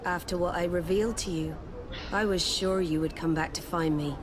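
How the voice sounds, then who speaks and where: A woman's voice: lowish voice, fairly even intonation, moderate pace, background noise, close to an everyday voice. An actress playing a guarded female admiral in a game, formal and clipped.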